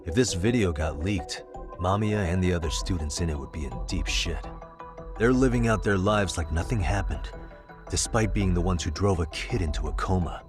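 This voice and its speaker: A man playing a serious, steady, plain-spoken detective in an English game dub. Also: low voice, normal speed, natural voice. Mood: grave, thoughtful. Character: serious, steady male detective working a case. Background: background music.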